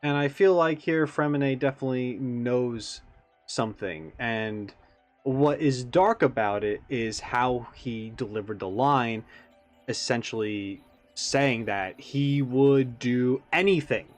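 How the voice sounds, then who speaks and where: Here is a man recording casual reaction commentary. He takes his time, thinking aloud and speculating as he goes, in a mid-pitched voice. Faint music plays behind him. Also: slow pace. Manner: musing, speculative. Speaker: YouTube reaction host.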